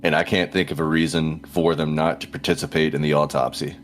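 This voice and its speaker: A man's voice: extremely low pitch, flat delivery, fairly quick, faint music behind. A man talking out his reasoning as a player in a tabletop game. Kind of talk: table talk during a game.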